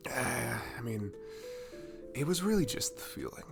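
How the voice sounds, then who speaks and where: Casting: male actor as cute, soothing barista for ASMR audio. Slow, gentle, rambling delivery with lively swings in pitch, over clear background music. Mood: wistful. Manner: gentle, rambling, hesitant, breathy chuckles and sighs. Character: cute, sweet, comforting young male barista chatting. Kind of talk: ASMR roleplay, soft casual chat.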